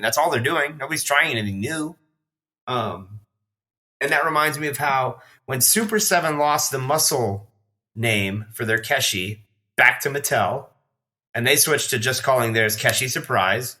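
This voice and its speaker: A man's voice: medium pitch, moderate pace, bright, forceful tone. A man in a casual podcast chat, talking in a rambling, slangy, enthusiastic way as a collector guest.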